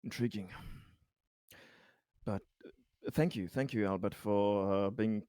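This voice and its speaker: A man's voice: lowish voice, unhurried, warm tone, no echo, clean studio sound, close to an everyday voice. A man improvising a hesitant, polite, earnest colony official in a sci-fi roleplaying game, stammering and deferential. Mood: grateful, earnest. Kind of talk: improvised in-character tabletop roleplay.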